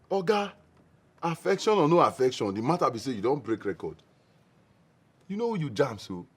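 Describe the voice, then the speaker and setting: An actor with a Nigerian Pidgin accent playing a young man who teases his friend with excitable exclamations. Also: mid-range voice.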